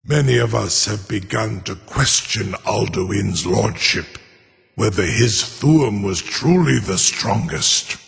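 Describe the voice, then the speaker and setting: Deep, gravelly, ponderous ancient dragon. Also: male voice, expressive, fairly bright tone, full character voice. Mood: grandly confiding. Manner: slow, pompous, formal, savoring words. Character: ancient proud male dragon, cunning and grandiose. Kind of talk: fantasy video game acting. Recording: long cavernous reverb.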